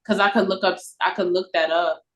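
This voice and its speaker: A woman's voice: on the low side, steady tone, a little fast, a little hiss or hum. A woman in casual conversation on an online call, talking informally and a little hesitantly. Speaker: collaborator on an audio play. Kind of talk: casual meeting chat. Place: online video meeting. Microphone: video call.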